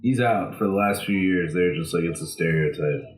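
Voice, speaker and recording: Blunt, profane young man, lowish voice. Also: normal speed, roomy sound, faint music behind. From Florida, USA. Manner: blunt, profane, laughs often. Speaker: podcast co-host. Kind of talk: casual comedy podcast banter.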